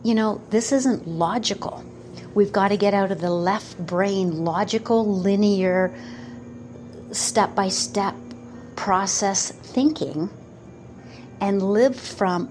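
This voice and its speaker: A woman in her fifties giving a slow, earnest motivational talk about manifesting, pausing often between phrases. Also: mid-range voice, a little mellow. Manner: slow, pausing, earnest, quotes doubters in put-on voices. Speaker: manifestation coach.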